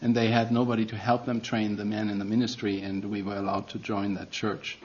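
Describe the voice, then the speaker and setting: A man's voice: lowish voice, little pitch movement, brisk, darkish tone, highs cut off. A middle-aged man with a German accent preaching a sermon as a guest, earnest and self-deprecating, with non-native phrasing.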